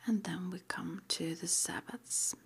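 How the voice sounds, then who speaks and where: A woman whispering in a breathy, mostly unvoiced ASMR style, reading out short affirmations slowly and deliberately with pauses. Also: fairly low pitch, fairly even intonation. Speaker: ASMR roleplay host.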